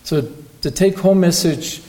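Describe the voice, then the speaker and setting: Mellow-voiced man giving a science lecture. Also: high voice, normal speed. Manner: hesitant, frequent ums and restarts. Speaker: lecturing researcher. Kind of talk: academic lecture and Q&A answers.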